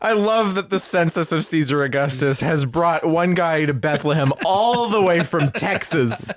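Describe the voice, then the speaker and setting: A man's voice: fairly high pitch, expressive, moderate pace, no room sound. A man co-hosting a podcast with casual, mocking comedic commentary and bits of mimicry. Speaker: podcast co-host.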